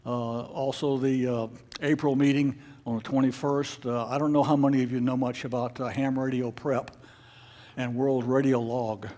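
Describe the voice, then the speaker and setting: A man leading a club meeting, giving informal announcements in a halting, unscripted way. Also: medium pitch, level tone, warm tone. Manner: halting, hesitant, unscripted delivery. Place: club meeting room with an audience.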